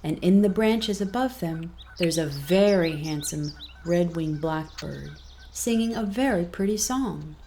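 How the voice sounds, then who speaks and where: A woman reading a scripted children's story as narrator, in an expressive, deliberate storytelling manner. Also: lowish voice, a little hiss or hum.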